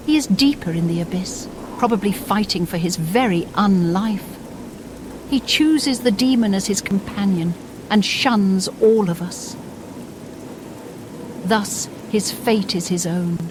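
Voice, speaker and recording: A woman's voice: mid-range voice, unhurried, noisy background, lightly acted. A woman voicing a bitter, damned female spirit in a fantasy game, speaking in a solemn, theatrical and formal way. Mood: brooding, resentful. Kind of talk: dramatic fantasy game dialogue.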